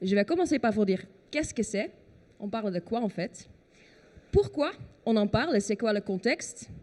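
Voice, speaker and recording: A woman's voice: medium pitch, animated, normal speed, a little mellow. A Dutch woman speaking French with a Dutch accent, giving a conference talk on a trade show stage and often correcting herself. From Netherlands. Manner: explanatory, with many fillers and self-corrections.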